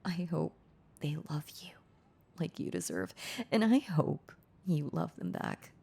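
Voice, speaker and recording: A woman playing a heartbroken, tender former girlfriend in an intimate audio roleplay, confessing haltingly in a breathy, husky voice that slips into whispers. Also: low-pitched, big pitch swings, dark tone, exaggerated voice. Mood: tender, bittersweet. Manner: halting, confessional, repeating words, breaking into whispers.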